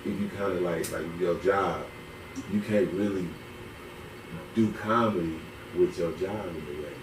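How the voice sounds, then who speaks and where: A man's voice: sits low, measured pace. A man, a DJ guest on a casual podcast, talking in a laid-back way and leaning on filler phrases. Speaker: DJ and beatmaker guest. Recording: roomy, distant mic, audible noise.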